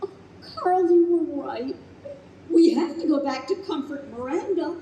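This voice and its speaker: Woman playing a high-pitched, expressive fond wife. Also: unhurried, lo-fi sound. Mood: pleading. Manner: theatrical, expressive. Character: chatty, fond, exasperated wife of an old man. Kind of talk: old-time radio play acting. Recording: echoey noisy live stage, distant microphone.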